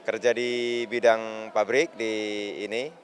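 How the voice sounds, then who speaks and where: A man who works in a factory, answering a street interview in a hesitant, halting way with many fillers. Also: mid-range voice, little pitch movement, a little hiss or hum. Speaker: factory worker.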